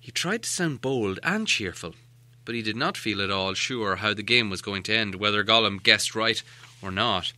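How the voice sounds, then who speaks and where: A man's voice: lowish voice, expressive, normal speed, bright, forceful tone, dry recording. A man reading a story as an audiobook narrator, in a dramatic storytelling style.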